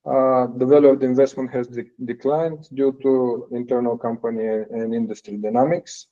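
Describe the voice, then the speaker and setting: A man presenting financial results as a company executive on an earnings call, in a halting, hesitant delivery with frequent pauses. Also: mid-range voice, level tone, warm tone. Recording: dull, lo-fi call audio.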